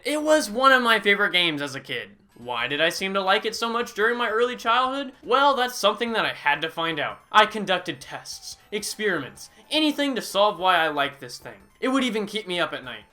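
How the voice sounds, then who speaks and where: Bright, animated young man. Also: high-pitched, normal speed, faint music behind. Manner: sarcastic, with sudden exaggerated outbursts. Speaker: gaming YouTuber. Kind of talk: comedic scripted game review voice-over.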